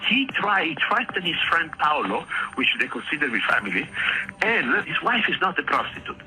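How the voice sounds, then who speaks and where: Sharp, animated man, muffled. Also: on the high side, moderate pace. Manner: blunt, swearing, emphatic. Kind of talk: heated, informal talk. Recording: muffled played clip with music behind. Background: music.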